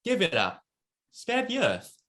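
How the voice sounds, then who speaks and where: A woman's voice: medium pitch, huge pitch swings, unhurried, fairly bright tone. A woman playing a smug, lovestruck, callous young partner in a play reading, with a teasing manner. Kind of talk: reader's theatre acting.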